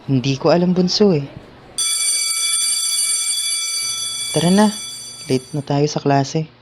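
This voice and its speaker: A man's voice: a little high, moderate pace, close to an everyday voice. A man playing a polite, dutiful teenage brother in an amateur radio drama. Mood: polite, concerned. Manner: polite, deferential to elders. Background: background music.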